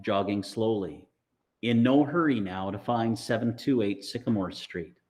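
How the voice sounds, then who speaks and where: A man reading a story aloud in an expressive, deliberate storytelling style, with dramatic phrasing. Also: lowish voice. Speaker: audiobook reader.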